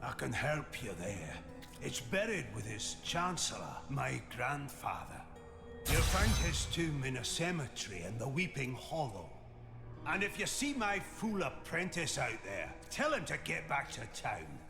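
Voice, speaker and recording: Gruff blacksmith, heavy-hearted, creaky voice that moves around a lot, game voice. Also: male voice, medium pitch, sing-song, a little slow, over music. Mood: gruff, grateful. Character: gruff, grief-burdened village blacksmith, a working man. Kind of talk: video game voice acting.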